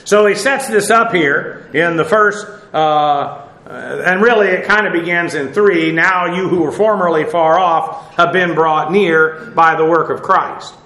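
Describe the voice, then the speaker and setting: A man with a notably high voice teaches through a sermon verse by verse, pausing often on fillers and restarting his phrases. He is recorded in a reverberant church hall. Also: bright, forceful tone. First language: English. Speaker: pastor. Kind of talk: expository sermon. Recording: echoey room.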